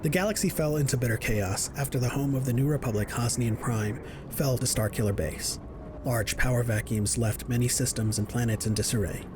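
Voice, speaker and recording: A man's voice: mid-range voice, background noise. A man reading scripted narration for a science fiction audio drama. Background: music.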